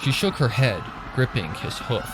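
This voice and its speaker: A man's voice: mid-range voice, sing-song, normal speed, heavy background noise. A man narrating a story in a dramatic, suspenseful, theatrical scripted style. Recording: loud ambient sound effects over the voice.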